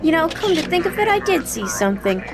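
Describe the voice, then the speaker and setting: A woman's voice: extremely high pitch, very animated, fast talker, heavily acted. A young woman acting a sarcastic, determined teenage girl in an audio drama, both in dialogue and narration. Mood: exasperated, sarcastic. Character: sarcastic, determined teenage girl hunting for her missing friend.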